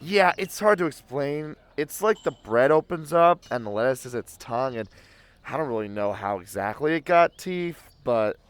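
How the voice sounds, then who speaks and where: A man's voice: mid-range voice, expressive, moderate pace, clean tone, close to an everyday voice. A man playing a nervous cafe waiter in an audio drama, awkward and stammering. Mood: sheepish. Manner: hesitant, stammering. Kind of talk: scripted audio fiction acting.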